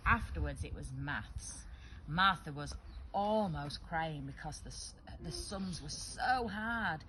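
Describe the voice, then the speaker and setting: A woman reading a picture book aloud to young children in an expressive, animated way, acting out the dialogue. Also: low-pitched, slow, poor audio.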